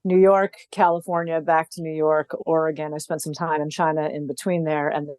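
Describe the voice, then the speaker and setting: A woman's voice: deep voice, flat delivery, brisk, a little mellow, dry recording. A woman from the United States co-hosting an interview over a video call, friendly and chatty, laughing easily. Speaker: podcast co-host. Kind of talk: casual interview chat.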